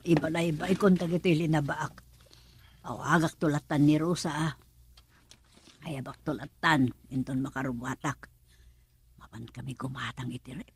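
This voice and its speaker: A woman's voice: low-pitched, fairly even intonation, moderate pace, pauses often, husky, full character voice. A woman acting a flustered, suspicious village mother in a rural comedy radio drama, exclamatory and scolding. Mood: grumbling.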